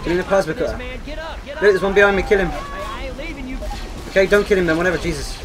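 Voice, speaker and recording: An excitable young man giving casual commentary while playing a co-op video game with friends. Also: sits high, a little fast. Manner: excitable, exclaiming. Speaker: gaming video host. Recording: game sound and music behind voice. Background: video game sound and music.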